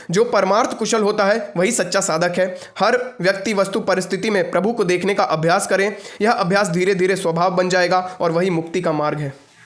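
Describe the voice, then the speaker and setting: A young man with a notably high voice delivers a spiritual discourse. He is earnest and instructive, moving between reading and informal explanation. He often repeats short phrases to press a point home. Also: a bit bright. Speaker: spiritual teacher.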